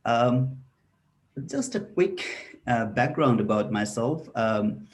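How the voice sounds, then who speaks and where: A man's voice: mid-range voice, slow pace. A young man from Bangladesh giving an academic webinar talk over a video call, hesitant with many filler sounds. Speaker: webinar presenter, researcher. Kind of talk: academic presentation. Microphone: computer mic on a video call.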